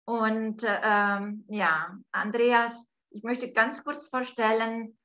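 A woman from Italy with an Italian accent, hosting an online talk by video call from home, speaking slowly and hesitantly with many fillers. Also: fairly high pitch, fairly even intonation, a bit bright. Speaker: host. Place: home room, indoors. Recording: dull lo-fi video call audio.

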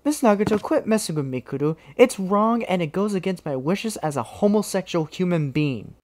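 A woman's voice: fairly low pitch, lively intonation, normal speed, a little mellow, close to an everyday voice. A woman voicing an earnest, exasperated teenage schoolboy in an anime parody dub.

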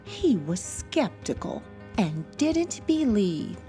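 Slow, animated woman reading a storybook. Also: medium pitch. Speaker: storybook narrator. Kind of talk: expressive children's read-aloud. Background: soft music.

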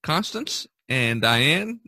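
A man hosting an artists' podcast over a video call, rambling and halting with many fillers. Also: a little high, sing-song, pushed and bright, slightly rough, no room sound, clean studio sound. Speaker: podcast host. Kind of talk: casual video-call chat.

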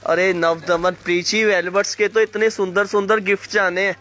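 A man running a fast live sale of clothing, calling viewers by name and coughing from a sore throat. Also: sits high, flat delivery, pushed and bright. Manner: fast hyped patter, addresses viewers by name. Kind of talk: live sales pitch to viewers. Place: indoor shop floor, clothes laid on mat.

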